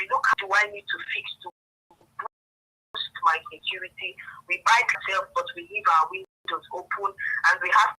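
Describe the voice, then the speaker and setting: A woman's voice: on the low side, pushed and bright, breathy edge. A woman with a Nigerian accent answering as a police spokesperson in a radio interview over a phone line, earnest and instructive, repeating points for emphasis. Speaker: police public relations officer, interview guest.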